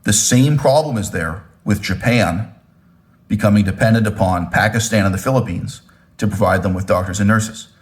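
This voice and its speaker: A man giving a political commentary monologue to viewers, lecturing emphatically, stressing key words with sharp pitch jumps and checking in with "right?" and "okay?". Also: moderate pace. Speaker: channel host.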